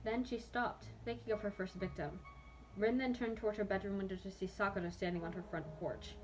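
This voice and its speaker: A woman's voice: mid-range voice, fairly even intonation, fairly quick, noisy background. A young adult woman hosting a dramatic reading, self-mocking and breaking into amused asides and laughter. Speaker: host reading own old fanfiction.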